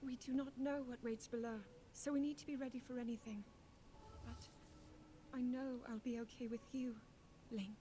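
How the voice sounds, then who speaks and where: Casting: a woman as a curious young game princess, high and soft, earnest, brave, over noticeable game ambience. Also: steady tone, normal speed, a little hiss or hum, natural voice. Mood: earnest, resolute. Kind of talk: scripted video game cutscene acting. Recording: game audio with clear background ambience.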